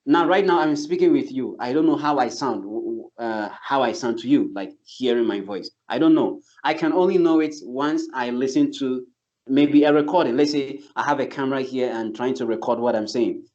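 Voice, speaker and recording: Male English teacher, casual explainer, middle pitch, a bit lo-fi. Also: moderate pace, a little mellow. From not from Turkey. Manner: earnest, explains step by step with many restarts. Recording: slightly dull lo-fi sound.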